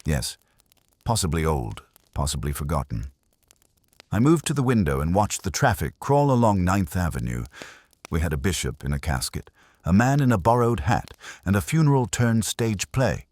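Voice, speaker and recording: A man's voice: fairly low pitch, very animated, moderate pace, darkish tone, dry recording. A man narrating a first-person detective audiobook in a wry, hardboiled manner.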